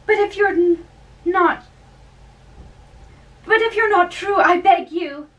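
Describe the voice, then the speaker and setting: A young woman giving an amateur dramatic reading of a play, theatrical and a little halting, recorded in a small bedroom. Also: very high voice. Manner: theatrical, halting as she reads. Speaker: amateur performer. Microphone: camera a few metres away. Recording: distant mic with background hiss.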